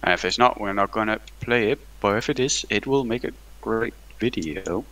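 A man with a low but bright, edgy voice chats over a game on his mic. He is blunt and teasing and laughs easily, with a forward, sharp tone. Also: moderate pace. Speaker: gaming channel co-host. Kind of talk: casual chat while playing.

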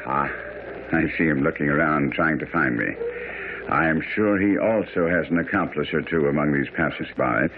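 A sharp gentleman detective, deep measured voice, old noisy radio drama sound. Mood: confident, urgent. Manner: formal, measured, explanatory. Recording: muffled, noisy vintage radio broadcast.

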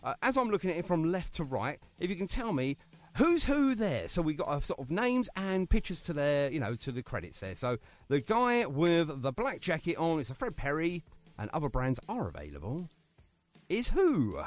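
A man hosting a casual radio interview, chatty and quick with jokey asides. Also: a little high, wildly swooping pitch, no room sound. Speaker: radio show host.

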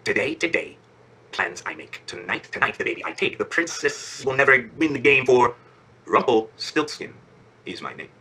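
A man's voice: medium pitch, wildly swooping pitch, fast, pushed and bright, a little hiss or hum, heavily acted. A man voicing a small, strange imp from a bedtime fairy tale, a sly bargainer who is insistent and gloating. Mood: gloating chant.

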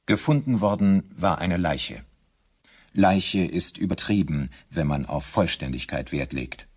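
An actor as the narrator of a German radio crime drama, reading scripted narration with dry, understated irony. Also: male voice, sits low, expressive, unhurried, darkish tone, husky, exaggerated voice. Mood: grimly ironic. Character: dry, measured male crime-story narrator.

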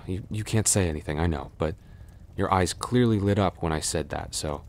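A man playing a cursed, lovelorn young prince in a roleplay audio drama, halting and formal in his speech. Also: low-pitched, a little mellow. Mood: anxious, determined. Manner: halting, breathy sighs and gasps, formal archaic phrasing.

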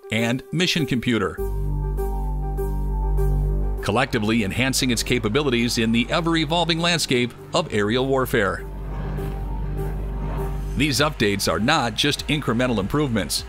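A man giving scripted documentary narration in an even, measured delivery. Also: medium pitch. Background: music.

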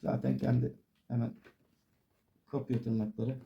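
A man's voice: mid-range voice, little pitch movement, a little slow, vocal fry, lo-fi sound. A man giving a casual hands-on tutorial, explaining informally step by step as he works. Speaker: DIY tutorial presenter.

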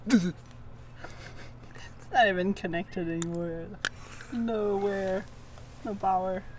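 A woman's voice: mid-range voice, slow pace, a little mellow, slight background noise. A woman in her thirties chatting casually as she explains boat repair work outdoors in a boatyard, recorded on a camera mic. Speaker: sailing vlogger. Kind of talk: casual vlog narration.

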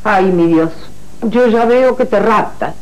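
A woman's voice: mid-range voice, takes it slowly, a little mellow, close to an everyday voice, rough recording. A woman playing a frank, cautioning confidante with an Argentine accent in a telenovela. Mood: warning.

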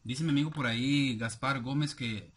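A man in his thirties with a Guatemalan accent and a husky voice, chatting casually as a vlogger and reading out comments with familiar, rambling asides. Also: mid-range voice, normal speed, a bit bright, slight background noise. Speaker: YouTube vlogger. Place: small cluttered room indoors at home.

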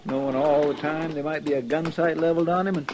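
A man's voice: on the high side, fairly even intonation, fast, close to an everyday voice. An actor playing a fretful, folksy male deputy sidekick with a drawling twang, in a 1950s radio drama. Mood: uneasy. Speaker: marshal's helper. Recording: noisy 1950s radio recording.

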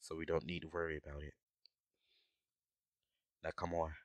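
A man voicing a gruff, protective dragon adventurer in an audio roleplay, talking urgently and with exasperation to his companion. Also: very deep voice, level tone, normal speed, dry recording. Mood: concerned, protective. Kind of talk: scripted ASMR audio roleplay acting.

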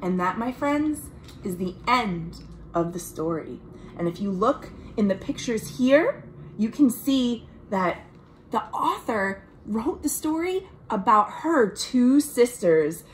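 A young American woman reads a picture book aloud to children, expressive and deliberate, with friendly asides like a storytime librarian. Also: fairly high pitch, a little hiss or hum, poor audio. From United States. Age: young adult. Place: small room at home.

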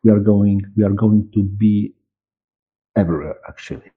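A middle-aged man with a Polish accent, a native Polish speaker, giving interview answers haltingly as he searches for words. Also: lowish voice, steady tone, breathy edge. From Poland. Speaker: guest, audiobook director and producer. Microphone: headset on a video call. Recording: muffled, narrow-band video call audio.

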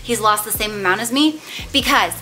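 A woman's voice: fairly high pitch, expressive, moderate pace, sharp tone, soft background music, low-quality recording. A woman in her thirties chatting casually as she walks through her meal prep in a home kitchen, upbeat with cutesy words. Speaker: vlogger.

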